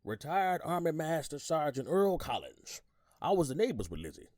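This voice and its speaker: Man acting a folksy, animated older witness. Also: fairly high pitch, normal speed, no room sound, clean studio sound. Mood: obliging, chatty. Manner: folksy, rambling, repeats words, hums agreement. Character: folksy older male retired army sergeant, gossipy eyewitness. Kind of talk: audio drama acting, courtroom testimony.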